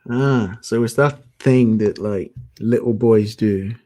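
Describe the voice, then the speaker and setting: A man's voice: on the low side, slow, no room sound, clean studio sound. A man who is a guest on a talk show, chatting casually. He asks curious questions and adds joking asides. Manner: animated and curious, jokey asides. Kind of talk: casual conversation.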